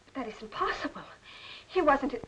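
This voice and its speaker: An actress playing a composed but bitter estranged wife in a 1940s crime film, formal and emphatic. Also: female voice, high-pitched, expressive, normal speed, fairly bright tone, low-quality recording. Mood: startled, composed. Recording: old 1940s film soundtrack.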